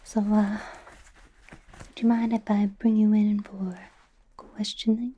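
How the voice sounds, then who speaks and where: A woman playing a chatty, suspicious young superheroine in a soft, breathy ASMR whisper, rambling through a playful interrogation. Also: medium pitch, little pitch movement, slow pace, dull audio. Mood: playfully suspicious. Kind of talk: ASMR roleplay, mostly whispered personal attention.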